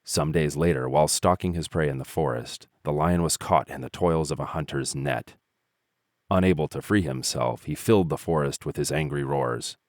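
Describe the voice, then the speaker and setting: A man narrating fables in an expressive, measured storytelling style. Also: extremely low pitch, no room sound, studio quality.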